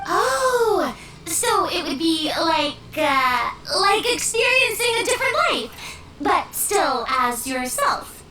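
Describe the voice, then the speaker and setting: A very high, swooping little floating girl, excitable and snack-crazed. The game audio sits in a roomy, echoey space, slightly distant, with music underneath. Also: slow pace, background noise, full character voice. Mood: excited. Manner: excitable, bouncy, speaks of herself by name. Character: tiny floating female companion, eager and snack-loving. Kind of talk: game voice acting.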